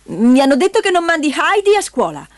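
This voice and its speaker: A woman voicing a bossy, inflexible aunt in a storybook, brisk, scolding and insistent, with music behind her. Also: high-pitched, big pitch swings, dry recording, voice pushed up.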